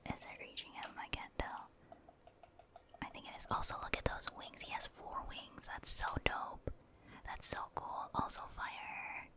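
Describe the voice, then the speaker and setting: A woman whispering soft, unvoiced ASMR commentary as she plays a video game, casually and hesitantly thinking aloud. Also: measured pace. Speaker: ASMR gamer, video host.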